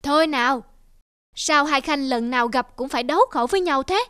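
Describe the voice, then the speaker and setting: A woman's voice: high-pitched, a little fast, no echo, studio quality. Woman voicing an imperious old emperor. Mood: gracious. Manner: commanding, flares into angry shouting. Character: proud hot-tempered old male emperor. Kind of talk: cartoon voice acting.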